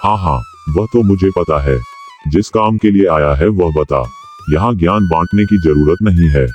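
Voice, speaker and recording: A man voicing a gruff, deep-voiced scheming landlord. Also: moderate pace, lo-fi sound, faint music behind, natural voice. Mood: bossy. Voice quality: bossy, scornful, talks down to the farmer. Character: scheming greedy village landlord, middle-aged man.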